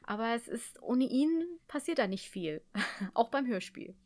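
A woman hosting a relaxed radio interview, commenting and asking questions in a casual chat. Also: a little high, a little slow. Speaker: radio talk host, interviewer.

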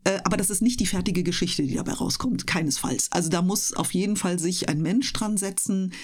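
A woman's voice: on the low side, a little fast. A woman chatting casually and explaining things as a guest in a radio interview.

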